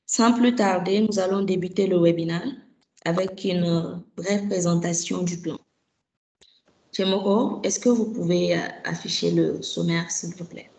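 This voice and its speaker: A woman's voice: fairly low pitch, fairly even intonation, measured pace, creaky, dark tone, reverberant. A woman moderating a business webinar over a video call, speaking in a formal, polite and structured way. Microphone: video call microphone.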